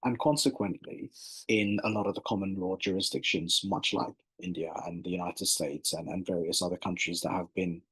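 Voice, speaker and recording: An English man with a very low, husky voice, speaking as a barrister on an academic panel. He talks in a measured, lawyerly way and often repeats words as he builds his points. The sound comes through a video call. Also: steady tone, a bit bright, low-quality recording. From England. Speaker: barrister and institute director, panelist.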